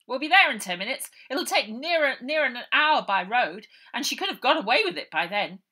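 A woman's voice: a little high, sing-song, normal speed, sharp tone, voice pushed up, full character voice. A woman voicing a confident male pilot in a storybook, commanding and encouraging. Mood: brisk instructing. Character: brisk, confident, reassuring injured male pilot.

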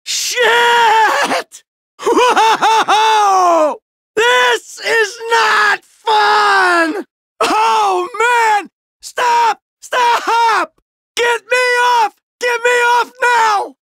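A man's voice: very high-pitched, steady tone, extremely slow, pushed and bright, no room sound, shouting, studio quality, exaggerated voice. A man voicing a cynical, brash middle-aged American criminal in a video game, sarcastic and foul-mouthed. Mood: shouting, alarmed. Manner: sardonic, brash, swearing.

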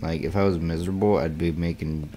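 A man's voice: low voice, steady tone, a little fast, dark tone. A playful man who laughs readily, chatting and riffing casually as co-host of a cartoon reaction show. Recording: cartoon music clearly behind the voice.

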